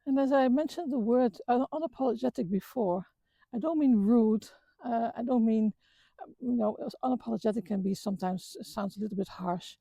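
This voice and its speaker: A middle-aged woman from the Netherlands with a Dutch accent, talking earnestly about her life with many halting restarts. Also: on the high side, warm tone, no echo. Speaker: vlogger. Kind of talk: reflective personal talk. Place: outdoors on a walk.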